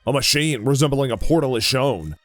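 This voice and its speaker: A man's voice: medium pitch, animated, fairly quick, fairly bright tone, soft background music. A man reading scripted narration for an audio drama.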